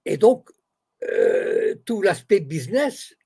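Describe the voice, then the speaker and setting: A man's voice: fairly high pitch, huge pitch swings, takes it slowly, creaky, warm tone, slightly rough. A man from Morocco whose first language is Arabic, answering questions as an interview guest and explaining point by point with many restarts.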